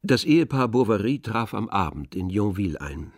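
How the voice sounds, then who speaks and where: A man narrating a literary radio drama from a script in a measured, descriptive way. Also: lowish voice, big pitch swings, faint music behind.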